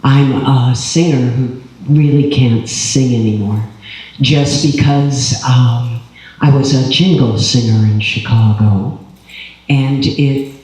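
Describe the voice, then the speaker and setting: Older man, boomy voice, slow, wry, very echoey hall. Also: darkish tone. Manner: wry, self-deprecating. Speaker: retired singer on a panel. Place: public panel event room. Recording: strongly echoing hall.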